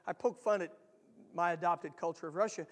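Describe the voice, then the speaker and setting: An American man preaching a sermon to his church, earnest and repetitive, swelling loud on key lines. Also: sits high, brisk, in a large hall. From United States. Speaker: pastor. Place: church sanctuary.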